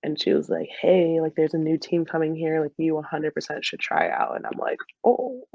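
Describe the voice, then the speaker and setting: A woman's voice: sits low, normal speed, creaky, no echo, muffled recording. A woman in her twenties with an American accent, telling stories casually as a podcast guest in a chatty, giggly way, saying "like" often. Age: 20s. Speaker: podcast guest, dental student and soccer player. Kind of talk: casual conversational storytelling.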